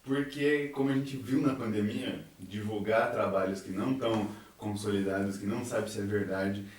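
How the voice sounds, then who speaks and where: A man's voice: medium pitch, darkish tone, slight background noise. Very echoey young Brazilian man. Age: young adult. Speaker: university student in a science theatre group. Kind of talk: casual podcast conversation. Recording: very echoey room, distant from microphone.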